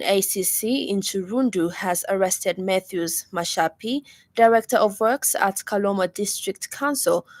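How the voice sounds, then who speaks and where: A woman newsreader delivers a scripted radio bulletin in a Zambian accent. Her voice sits at a medium pitch, and she reads formally, with a measured delivery. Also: no room sound. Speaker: radio news reader.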